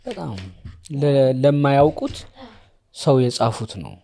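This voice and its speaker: A man's voice: fairly high pitch, expressive, fast, gravelly, warm tone. A man with an Ethiopian accent, taking part in a casual podcast conversation.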